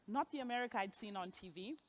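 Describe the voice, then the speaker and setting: A woman's voice: a little high, a bit bright, slight background noise. A woman in her forties from Zimbabwe, giving a personal storytelling speech at a gala and laughing at her own asides. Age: 40s. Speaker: gala speaker. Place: gala event hall, on stage.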